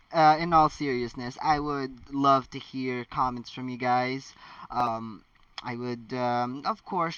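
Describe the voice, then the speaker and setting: Bright-voiced man reading, set back from mic. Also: mid-range voice, no echo, distant mic, lo-fi sound. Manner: chatty, joking, rambling. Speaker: host of a fan fiction reading channel. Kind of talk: casual story read-aloud with chatty asides.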